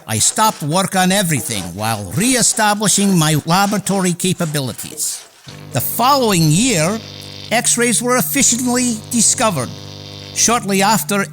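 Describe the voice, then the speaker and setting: Radio drama lead: proud, courtly inventor, formal and measured yet highly animated in pitch, light music underneath. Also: male voice. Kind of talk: radio theater drama acting.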